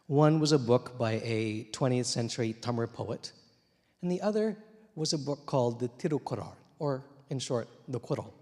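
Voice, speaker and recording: Slightly hoarse man telling a story on stage. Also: mid-range voice, lively intonation, moderate pace. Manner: reflective, gently humorous, many "uh" fillers. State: slightly hoarse from many interviews. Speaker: translator and poet. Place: theater stage, dark room. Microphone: handheld mic. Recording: long reverb of a theater hall.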